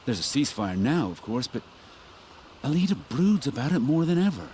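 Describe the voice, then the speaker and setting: Man acting an anguished father, swinging pitch. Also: big pitch swings, warm tone, a little hiss or hum, close to an everyday voice. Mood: sorrowful, regretful. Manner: anguished, halting, emphatic. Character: distraught middle-aged father searching for his missing daughter. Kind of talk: video game character acting.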